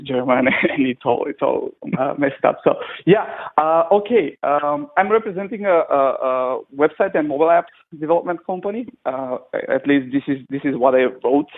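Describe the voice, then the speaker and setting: Man, hesitant guest, restarts often, mid pitch, thin phone-line sound. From North Macedonia. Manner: hesitant, searching for words with many restarts. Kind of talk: casual interview over a call. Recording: tinny phone-like call line.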